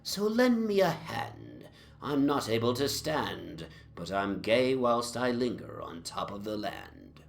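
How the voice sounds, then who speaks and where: A man's voice: mid-range voice, very animated, takes it slowly, vocal fry, heavily acted. A man voicing a tipsy, jovial Georgian tavern reveler, declaiming bawdy drinking verse with theatrical swagger in a dramatic audio reading. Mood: drunken revelry. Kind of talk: theatrical rhymed drinking-song recitation.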